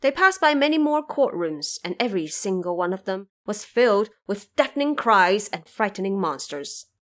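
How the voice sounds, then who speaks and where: A woman narrating a scripted audio drama as a storyteller, in a measured, formal and solemn manner. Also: medium pitch, big pitch swings, a bit bright.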